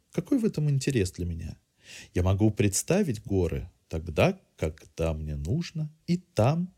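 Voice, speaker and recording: A man's voice: mid-range voice, darkish tone, breathy edge. A man hosting a podcast, delivering a semi-scripted cultural review in erudite, literary phrasing.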